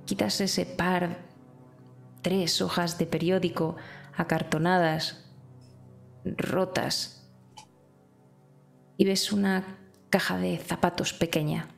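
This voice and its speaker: A woman playing a tabletop roleplaying game, describing a gift slowly and gently in character. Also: lowish voice, vocal fry. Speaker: player. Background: faint music.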